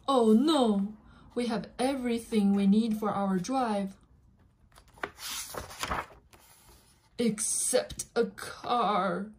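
A woman plays an excitable, fussy storybook elephant who loves a plan. The voice is high and very slow, with emphatic delivery. It is a home recording with clear background noise. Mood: dismayed. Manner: deliberate, emphatic, chanting. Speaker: parent reading aloud with their child. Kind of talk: animated picture-book read-aloud. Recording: noisy home recording with clicks.

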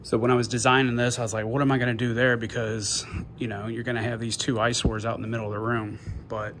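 A man casually narrating a walk-through tour of his basement game room, offhand and pausing often. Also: mid-range voice, little pitch movement, fairly bright tone. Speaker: homeowner giving a video tour. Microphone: handheld phone, behind the camera. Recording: noisy indoor phone video.

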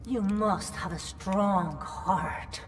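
A woman's voice: mid-range voice, extremely slow, distant mic, very noisy. An actress playing a predatory, disdainful female insect creature in a video game, with sinister, savored menace. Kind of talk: video game fight dialogue.

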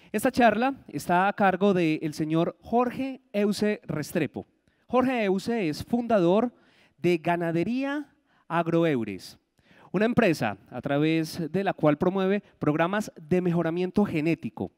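A male event emcee at a podium introducing the next speaker in a formal, measured announcer's delivery, holding a handheld mic. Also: high voice, a little mellow, clean studio sound. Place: conference hall podium. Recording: long hall reverb.

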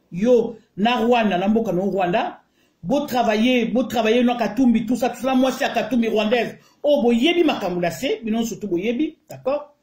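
A woman's voice: on the high side, a little mellow. Indignant Congolese woman ranting. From Congo (DRC). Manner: indignant, emphatic, mixes French and Lingala. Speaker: online commentator. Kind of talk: heated video rant. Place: small room indoors. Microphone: phone held close to the face. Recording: echoey room.

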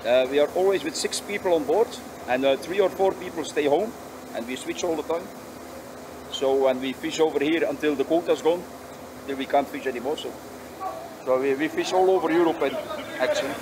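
A middle-aged man with a Belgian accent, a boat skipper answering interview questions haltingly. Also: on the high side. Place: outdoors on a trawler deck in harbour. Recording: clear outdoor engine and harbour noise.

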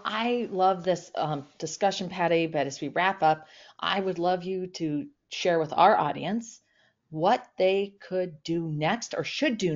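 Middle-aged female podcast host, very low voice, affirming and chatty, dull video-call sound. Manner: warmly affirming, chatty with many ums. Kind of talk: interview over video call.

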